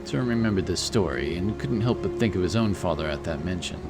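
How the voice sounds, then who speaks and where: A man's voice: sits low, animated, fast, over loud music. A man giving scripted narration for a fantasy audiobook, in the role of storyteller.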